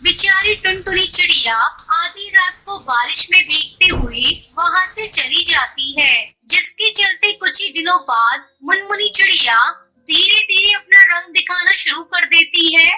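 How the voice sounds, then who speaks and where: A woman's voice: extremely high pitch, unhurried, bright, forceful tone, poor audio. A woman narrating a scripted children's cartoon story as the storyteller.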